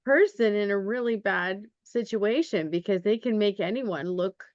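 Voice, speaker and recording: Woman podcast host, measured gentle questions, slightly dull remote recording, dry. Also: mid-range voice, dry recording. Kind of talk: interviewing a guest.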